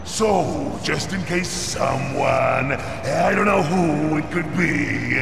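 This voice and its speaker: Gravelly, echoing, gloating monster villain. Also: male voice, huge pitch swings, takes it slowly, full character voice. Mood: taunting. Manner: taunting, theatrical. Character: gloating deranged hulking alien monster villain, male. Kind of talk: cartoonish video game villain acting. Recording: echoey reverberant booming, loud background noise.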